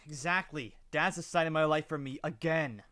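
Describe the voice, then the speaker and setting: A man plays a rebellious young prince in an audio drama. His voice is fairly high and very clear, his delivery flippant and sarcastic. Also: normal speed, bright, forceful tone, no room sound, clean studio sound. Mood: defiant, sarcastic. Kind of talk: scripted audio drama acting.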